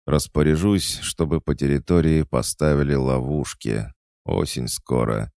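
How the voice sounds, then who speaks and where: A steady older servant, as a man plays him, reassuring and businesslike. The voice is very deep and gravelly, recorded close with no room. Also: a little slow, no room sound, full character voice. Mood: calm. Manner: matter-of-fact, reassuring.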